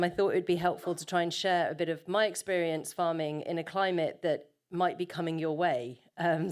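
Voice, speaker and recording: A woman with a fairly low voice giving an unscripted conference presentation. She talks in a loose, conversational way and fills her pauses with plenty of ums. She often restarts and corrects herself mid-sentence. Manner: conversational, many filler ums, self-correcting. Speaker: conference panel speaker, farmer. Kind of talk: conference talk with slides.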